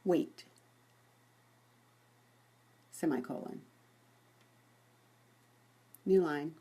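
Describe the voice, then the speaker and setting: A woman presents a software demonstration in an informal way. Her voice sits a little low and has a distinctly husky, unpolished grain. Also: drawn-out words. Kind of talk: dictating voice commands to software.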